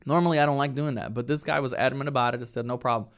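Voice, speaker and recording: Man, Brazilian accent, thirties, smooth clear voice, conversational podcast guest. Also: medium pitch, steady tone, racing. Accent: Brazilian Portuguese. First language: Portuguese. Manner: conversational, tags statements with "right?". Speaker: real estate team leader, guest. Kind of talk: casual podcast interview.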